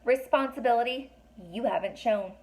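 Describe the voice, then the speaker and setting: A woman's voice: on the high side, normal speed, lightly acted, poor audio. A woman voicing a stern fairy council member in a storybook, formal and severe. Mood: grave.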